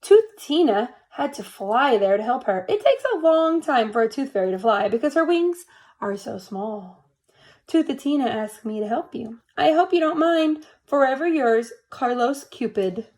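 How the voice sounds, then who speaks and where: A woman's voice: sits high, lively intonation, normal speed, darkish tone. A woman voicing a courtly male Cupid reading out a flowery, gracious letter in a storybook.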